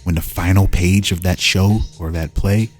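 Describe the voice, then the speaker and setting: A man's voice: deep voice, fairly even intonation, normal speed. A man hosting in a casual style, reading game lore aloud and breaking off into musing, informal asides. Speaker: host presenting fan-made game lore. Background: music.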